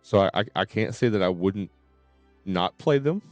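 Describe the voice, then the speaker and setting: A man's voice: lowish voice, expressive, normal speed, darkish tone, no echo, muffled recording, faint music behind. A man streaming games and chatting casually in a hesitant, rambling way. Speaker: game streamer. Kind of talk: casual livestream chat.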